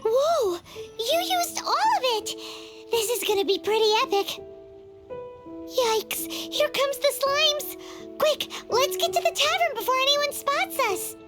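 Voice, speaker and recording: A woman voicing a tiny childlike fairy companion in a video game, squeaky, eager and cheeky, with exclamatory delivery. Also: lively intonation, slow, music in the background, heavily acted. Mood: excited, eager. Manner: speaks of herself in third person, exclamatory.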